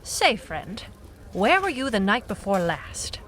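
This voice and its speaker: A woman playing a wry, dogged private eye in a noir audio drama, speaking in clipped, deadpan phrases. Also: mid-range voice, slow pace. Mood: probing.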